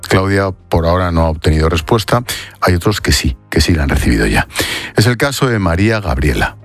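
A man presenting the news in a measured, formal way and interviewing a guest live. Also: deep voice, lively intonation, soft background music.

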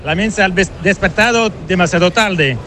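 A man from France with a French accent, a football fan being interviewed and speaking haltingly as a non-native. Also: high voice, pushed and bright. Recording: noisy outdoor street interview.

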